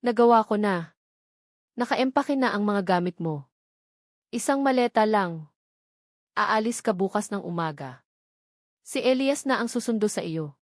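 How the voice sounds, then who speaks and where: A woman's voice: mid-range voice, animated, a little slow, pauses often, no room sound, close to an everyday voice. Woman narrator as wealthy Filipino patriarch in romance audiobook, stern, commanding male role, dry recording.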